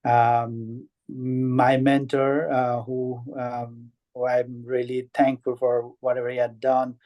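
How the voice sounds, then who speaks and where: A man in his thirties from Nepal talks through headphones on a video call. He keeps his pitch steady and flat and his tone warm and mellow. He hesitates a lot, filling gaps with ums and restarting his sentences. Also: slow. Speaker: networking meeting participant. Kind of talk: casual introduction on a video call.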